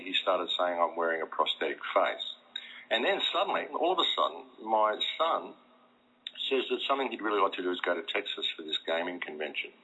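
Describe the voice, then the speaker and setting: South australian guy, deep forceful voice, bit husky, going on emphatically like a guest on internet radio. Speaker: talk show guest. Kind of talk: animated conversational monologue. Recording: muffled lo-fi internet radio audio.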